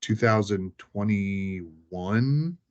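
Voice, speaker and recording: A man's voice: lowish voice, lively intonation, drawn-out words. A man chatting casually as he recounts tabletop game sessions, rambling and peppering his talk with "like". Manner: rambling, frequent "like" as filler. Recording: dull, lo-fi sound.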